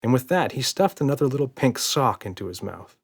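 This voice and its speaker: A man reads a children's story as an audiobook narrator. His voice is mid-pitched with very varied intonation. The delivery is scripted storytelling. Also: sing-song, brisk, creaky, husky.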